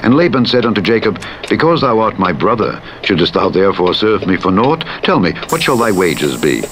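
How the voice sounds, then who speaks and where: Deep-voiced man reading scripture over engine rumble. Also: big pitch swings, a bit bright. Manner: measured, formal, declamatory phrasing. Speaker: Bible audiobook narrator. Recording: played in a game over rumbling truck engine noise. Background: vehicle rumble from a truck simulator game.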